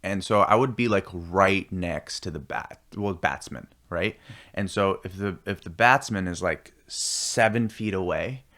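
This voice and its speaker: A man born in India, a podcast guest telling animated stories and acting out people's voices in casual chat. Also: low voice, a little slow. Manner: animated storyteller, acts out voices.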